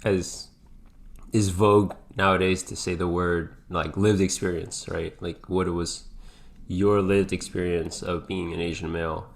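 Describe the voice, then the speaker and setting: A man co-hosting a podcast in casual, reflective conversation, speaking hesitantly as he thinks out loud. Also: deep voice, little pitch movement, measured pace, creaky. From grew up in several countries. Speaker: podcast co-host.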